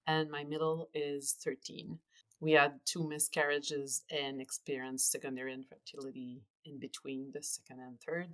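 A woman in her forties with a Quebec French accent, a native French speaker, chatting as a podcast guest with frequent pauses and restarts. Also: rumbling low, low-quality recording. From Quebec, Canada. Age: 40s. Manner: earnest, pauses often with fillers and restarts. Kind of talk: casual interview chat.

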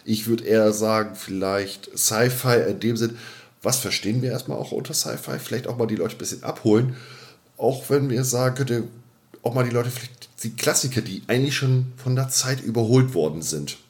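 A man's voice: mid-range voice, normal speed. A middle-aged man co-hosting a podcast in loose, casual conversation, repeating words as he thinks aloud. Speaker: podcast co-host. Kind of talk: casual unscripted chat.

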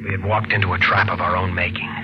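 An actor playing a tense, guilt-ridden man, narrating gravely and dramatically in an old-time radio suspense play. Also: deep voice, flat delivery, fast talker, heavy background noise, close to an everyday voice. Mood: grave, foreboding. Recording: muffled old radio broadcast with loud music.

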